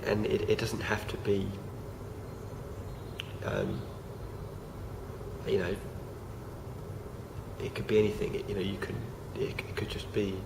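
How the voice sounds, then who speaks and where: A young man, croaky from feeling unwell, talking casually to camera with many fillers and restarts. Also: fairly low pitch, sing-song, measured pace, pauses often. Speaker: vlogger. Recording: noisy home recording.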